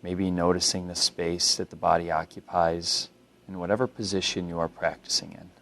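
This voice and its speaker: A man with a low-pitched voice guides a body scan meditation. He speaks as a mindfulness instructor, calm and unhurried, gently instructing the listener. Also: gravelly, slight background noise.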